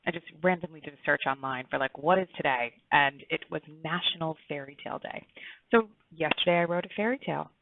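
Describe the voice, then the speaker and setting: A woman reading her own fairy tale aloud in an earnest, emphatic storybook style with dramatic pauses. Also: low-pitched, gravelly. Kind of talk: expressive storybook read-aloud. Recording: tinny phone-like, roomy echo.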